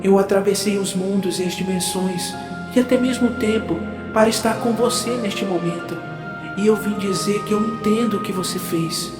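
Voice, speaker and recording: A man's voice: sits high, normal speed, reverberant, over music, pitched above the performer's own voice, natural voice. A man playing a noble, devoted superhero in a declamatory, earnest audio drama style. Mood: tender, reassuring.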